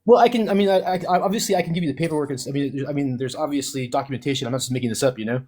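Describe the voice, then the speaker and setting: A man explaining his research in casual chat, halting with restarts and fillers. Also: mid-range voice, a little mellow, slight background noise. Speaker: co-host researcher.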